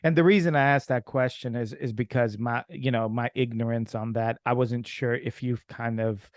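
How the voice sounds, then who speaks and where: A man from Ukraine hosting an interview podcast, asking questions in a halting way with many restarts. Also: mid-range voice, fairly even intonation, no echo, studio quality. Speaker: podcast host. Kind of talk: casual interview.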